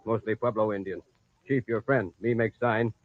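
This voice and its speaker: An actor playing a stoic, loyal Apache chief in an old radio western, speaking in terse, clipped broken English. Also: male voice, lowish voice, fairly even intonation, moderate pace, lightly acted. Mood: calm, grave. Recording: old radio recording, tinny and hissy.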